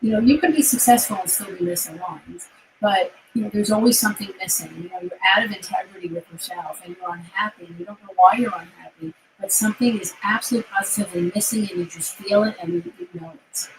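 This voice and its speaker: A woman's voice: medium pitch, fast talker, a little hiss or hum, poor audio. A middle-aged woman with a New York accent, hosting a casual interview chat and speaking in an upbeat, emphatic way. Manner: upbeat, emphatic, repeats words for stress. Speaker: host, career coach.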